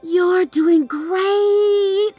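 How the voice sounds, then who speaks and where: A woman's voice: extremely high pitch, steady tone, very slow, warm tone, soft background music, voice pushed up, exaggerated voice. A woman voicing the Moon as a hopeful, eager female friend in a children's storybook, exclaiming and encouraging in a sing-song way. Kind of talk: children's storybook read-aloud.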